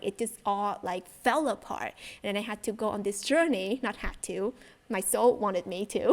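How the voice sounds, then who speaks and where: A young woman from Vietnam, chatting enthusiastically to her viewers about spirituality, with plenty of fillers. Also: mid-range voice. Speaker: spiritual content creator. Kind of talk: casual chat to viewers.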